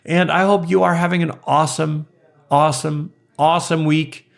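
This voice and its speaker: A man hosting a podcast, sounding hoarse and a little raspy from being sick, chatting in an upbeat, casual way with lots of fillers. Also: fairly high pitch, steady tone, normal speed. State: hoarse, recovering from illness. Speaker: podcast host. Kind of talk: casual interview and outro.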